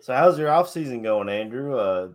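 A man's voice: medium pitch, expressive, normal speed. A man hosting a casual sports talk show, asking questions hesitantly with plenty of "uh". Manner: friendly, hesitant with fillers. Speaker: podcast host.

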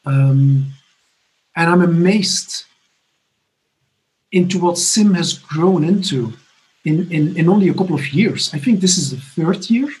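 A man in his fifties from Belgium giving a personal recovery testimony from his apartment, halting and earnest with many restarts. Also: a little high, expressive, a little mellow, low-quality recording. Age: 50s. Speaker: recovery conference speaker. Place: home apartment.

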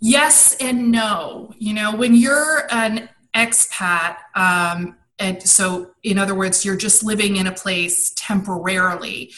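A woman in her thirties, a style coach, answering interview questions in a chatty way with many pauses and ums. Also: mid-range voice, pushed and bright, poor audio. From grew up abroad and in Maryland, US. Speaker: guest, personal style coach. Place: home in Maryland.

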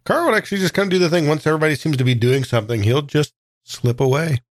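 A man's voice: a little high, fast talker, no room sound, clean studio sound. A man playing a tabletop roleplaying game, casually describing what his character does.

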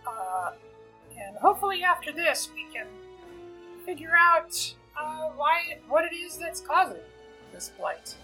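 A woman's voice: sits high, very animated, a little slow, bright, forceful tone, soft background music, low-quality recording. A woman player in a tabletop game, casually recapping the last session in a rambling, hesitant way. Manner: rambling, hesitant, many fillers.

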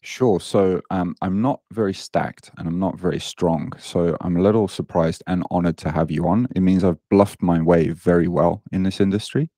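A man's voice: sits low, fairly even intonation, normal speed, hardly any pauses, dark tone, dry recording, clean studio sound. A middle-aged British man, a guest coach on a podcast, talking casually in a self-deprecating way, with many ums and uhs. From Britain. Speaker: guest, online fitness coach.

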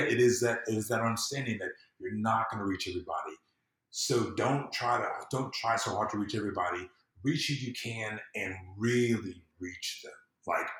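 Male interview guest, low pitch, echoey room sound, earnest conversational delivery that circles back for emphasis. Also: a bit bright. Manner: earnest, emphatic, thinks aloud with repetitions. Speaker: podcast guest, civic empowerment consultant.

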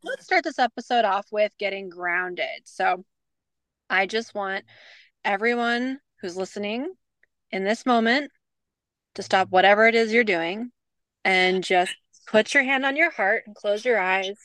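A woman co-hosting a podcast, chatting casually with many fillers and leading a calm grounding exercise. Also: medium pitch, measured pace, dry recording. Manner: bubbly, lots of fillers, leads a calm breathing exercise. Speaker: podcast co-host. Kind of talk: casual chat.

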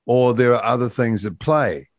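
A man's voice: medium pitch, normal speed, no room sound, studio quality. A man hosting a conversational radio interview.

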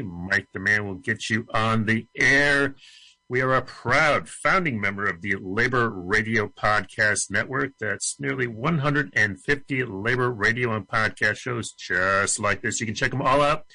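A man hosting a labor radio show, reading the show's rundown in a brisk announcer delivery and then interviewing guests. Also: lowish voice, pushed and bright, no echo, poor audio. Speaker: radio and podcast host. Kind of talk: scripted show narration and interviewing.

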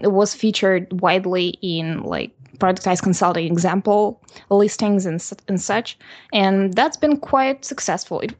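A woman in her twenties from Russia with a Russian accent, answering interview questions in a steady, businesslike way with soft "mm" pauses. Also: medium pitch, dry recording. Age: 20s. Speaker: guest, designer and author. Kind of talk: interview answers over a call.

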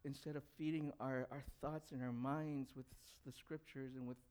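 A man's voice: medium pitch, level tone, moderate pace, darkish tone, clear, resonant tone. A young adult man, a pastor whose first language was Cantonese, preaching a conversational sermon and putting questions to the congregation. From grew up in an English-speaking country.

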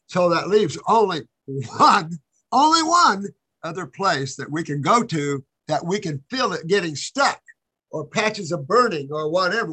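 A man hosts a call-in show and gives callers rambling, folksy health advice. His voice is bright and a little husky, and it sits somewhat high for a man. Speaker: host answering callers.

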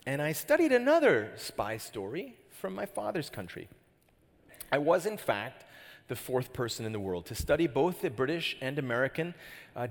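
A middle-aged Canadian man preaching a sermon as pastor, chatty and joking, often asking the crowd questions. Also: medium pitch. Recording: hall reverb.

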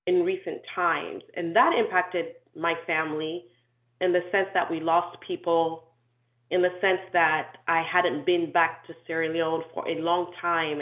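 A woman who grew up in Nigeria, talking through her life story in an interview, thoughtful and measured, with frequent ums and restarts. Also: fairly low pitch. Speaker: inclusion and diversity consultant, guest. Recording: tinny echoey phone-like call audio.

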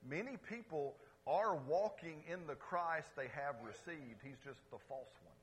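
Male pastor, fairly high, bright and husky; animated sermon, calls on the congregation. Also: a little slow. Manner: swings between loud exclamations and quiet asides. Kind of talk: lively conversational sermon. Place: church service before a congregation.

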